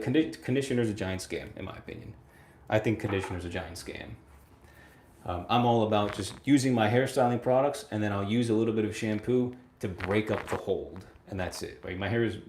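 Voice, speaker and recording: A man's voice: lowish voice, a little fast, a little mellow, a little hiss or hum. Chatty young man in an echoey room. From United States. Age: 20s. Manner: laughs often, chatty, repeats phrases, tag "right?". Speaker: livestream host. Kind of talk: casual livestream chat reading viewer comments.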